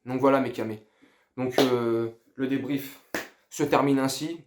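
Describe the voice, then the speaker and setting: Male fan vlogger, middle-aged, mid-pitched. Informal, slangy, rambling post-match talk, underwhelmed. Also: steady tone, measured pace, slight background noise. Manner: underwhelmed, lacking enthusiasm. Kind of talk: casual post-match debrief to viewers.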